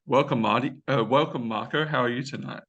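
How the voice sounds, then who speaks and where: A man hosting an interview, asking questions and affirming briskly with frequent yeahs. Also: mid-range voice, gravelly, fairly bright tone, muffled recording. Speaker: host.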